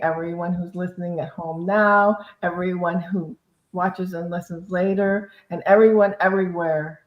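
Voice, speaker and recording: A woman's voice: lowish voice, a little mellow. Roomy-sounding woman leading a guided prayer. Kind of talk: slow guided spoken prayer. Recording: roomy echo, slightly dull online audio.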